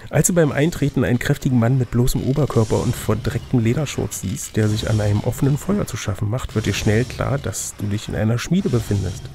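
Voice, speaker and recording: A man's voice: medium pitch, a little fast, creaky, hardly any pauses, dark tone, a little hiss or hum. A man narrating an adventure gamebook as game master and chatting casually with his co-player. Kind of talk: reading a gamebook aloud, with casual banter.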